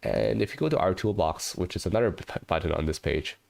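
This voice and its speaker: A man's voice: low voice, fast talker, creaky, darkish tone. A man in his thirties, congested from a cold, chatting casually as host of a livestream, full of "you know" and "right?", from a living room with a webcam. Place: living room with a red sofa, indoors.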